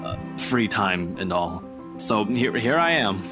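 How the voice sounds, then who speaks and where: A man's voice: lowish voice, expressive, slow. A man voicing a casual young man in a visual novel game, teasing and awkwardly evasive with his friend. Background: guitar music.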